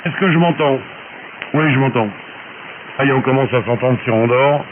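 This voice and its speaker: A man's voice: medium pitch, moderate pace. A man chatting casually as an amateur radio operator over a shortwave transmission. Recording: narrow-band shortwave radio with clear hiss.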